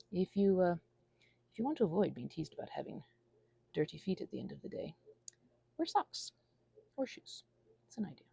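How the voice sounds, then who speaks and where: A woman's voice: medium pitch, gravelly, warm tone, breathy edge, no room sound. A woman giving a casual, rambling monologue, whimsical and self-deprecating as her thoughts wander. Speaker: audio creator rambling to listeners.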